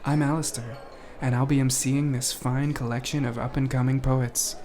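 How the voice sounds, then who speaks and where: A man's voice: medium pitch, little pitch movement, normal speed, darkish tone, slight background noise, lightly acted. A man playing a laid-back, wry poetry-night emcee, introducing acts in an audio drama. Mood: cool, inviting.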